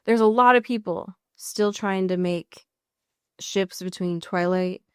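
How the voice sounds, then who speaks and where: An American woman co-hosting a podcast in casual, comedic chat, riffing with mock exasperation and drawn-out emphasis. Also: mid-range voice, clean tone, no echo, studio quality. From US Pacific Northwest. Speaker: podcast co-host.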